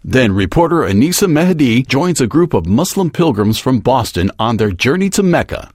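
A man's voice: mid-range voice, very animated, normal speed, a little mellow, no room sound, clean studio sound. A man with an American accent reading a scripted promo as a station announcer.

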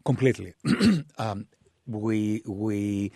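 Man, low and measured. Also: mellow, husky, dry recording, clean studio sound. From Greece. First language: Greek. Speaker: guest, literature scholar. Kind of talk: thoughtful interview answers.

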